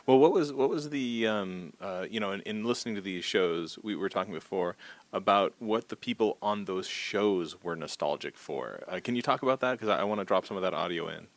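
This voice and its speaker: A man conducts a casual interview. His voice is on the low side, recorded dry, and he phrases his questions tentatively. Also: fairly quick, no room sound.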